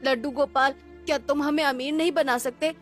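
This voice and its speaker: A woman acts a poor little village boy in a children's cartoon. The voice is high, naive and wistful, full of innocence, over background music. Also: steady tone, normal speed, close to an everyday voice. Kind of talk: cartoon story character voice.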